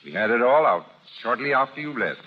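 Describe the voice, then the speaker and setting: An actor playing a devoted, impatient wealthy suitor in 1930s radio drama style. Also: male voice, mid-range voice, animated, brisk, natural voice. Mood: tender, ardent. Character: devoted, impatient wealthy husband-to-be, tender and ardent. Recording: dull, noisy 1930s radio recording.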